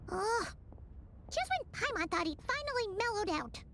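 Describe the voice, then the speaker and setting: Squeaky, very high childlike fairy. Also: female voice, expressive, moderate pace, bright, forceful tone, a little hiss or hum, exaggerated voice. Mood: indignant. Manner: whiny, speaks of herself by name. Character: small childlike floating fairy companion, excitable and petulant. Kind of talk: anime video game dialogue.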